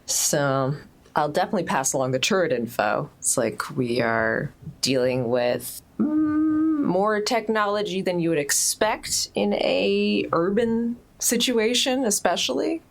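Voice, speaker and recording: A woman's voice: medium pitch, very animated, a little slow, creaky. A woman playing a tabletop game, thinking aloud hesitantly as she plans her next move. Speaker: player. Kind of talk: casual game talk.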